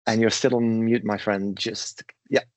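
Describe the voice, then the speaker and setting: A man's voice: on the low side, little pitch movement, measured pace, dry recording. A man presenting in an online teaching session, friendly and a little hesitant. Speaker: mindfulness teacher.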